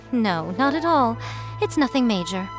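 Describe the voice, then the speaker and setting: A sly, teasing fox priestess from a video game. She sounds amused and unhurried, never rushed. Faint music runs underneath. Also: on the high side, big pitch swings. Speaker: shrine chief priestess.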